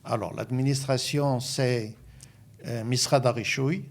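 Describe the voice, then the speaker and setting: Older man with an Israeli accent, volunteer advisor; measured, hesitant explainer of a middle pitch. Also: steady tone. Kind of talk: interview answers.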